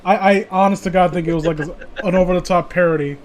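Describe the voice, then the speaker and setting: A man with a notably high voice talks casually as a streamer commenting on what he reads. He stammers and restarts, sounding exasperated and swearing freely. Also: fairly even intonation, fairly quick, a little hiss or hum. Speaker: streamer reading creepypasta with friends. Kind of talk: casual commentary and banter on a read-aloud.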